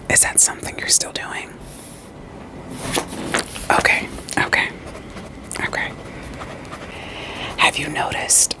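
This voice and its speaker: A woman playing a calm, reassuring therapist in an ASMR roleplay, whispering softly and breathily with gentle, deliberate questions. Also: natural voice, low-quality recording. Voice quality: soft, breathy, mostly unvoiced whisper. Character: calm, reassuring female therapist screening patients for werewolves.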